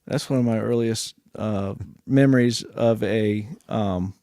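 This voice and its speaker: A man's voice: fairly low pitch, a little slow, mellow, no room sound. A man with a Southern American accent, hosting an interview in a music studio in an easygoing way and chuckling as he shares his own memories. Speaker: podcast host. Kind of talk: casual interview.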